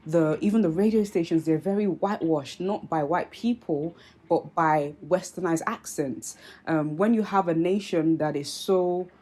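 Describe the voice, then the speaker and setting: A woman's voice: lowish voice, moderate pace, warm tone. A woman in her twenties with a mixed Nigerian and British accent, speaking earnestly and candidly to camera in an opinion vlog, with frequent fillers and restarts. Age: 20s. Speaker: YouTuber.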